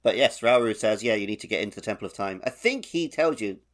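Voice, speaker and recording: A man's voice: on the low side, expressive, fast. A man co-hosting a gaming podcast, talking casually in an explanatory, analytical way. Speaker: podcast co-host.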